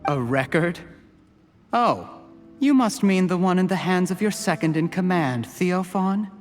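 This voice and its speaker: An actor playing a cold, calculating official turned poisoner in a video game, gloating in measured, mockingly polite monologue. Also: male voice, high-pitched, animated, faint music behind. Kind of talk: scripted video game voice acting.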